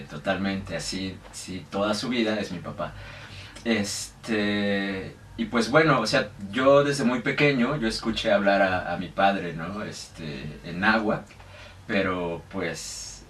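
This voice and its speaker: A man's voice: sits low, a bit bright. A young Mexican man with a Mexican accent, talking in a casual interview and hesitating often, with many fillers and restarts. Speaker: guest. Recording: echoey room, mic at a distance, faint noise.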